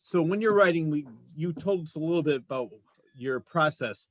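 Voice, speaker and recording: Higher-voiced man hosting, jokey. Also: expressive, normal speed, no echo. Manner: jokey, chatty. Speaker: talk show host. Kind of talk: casual interview chat.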